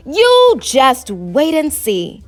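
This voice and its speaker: Lady doing a puffed-up indignant boy fox from a kids book, drawn out and super animated, music under it. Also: sits high, very slow, exaggerated voice. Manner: pompous, wordy, indignant. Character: vain, pompous male fox, easily flustered. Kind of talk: storybook character voice.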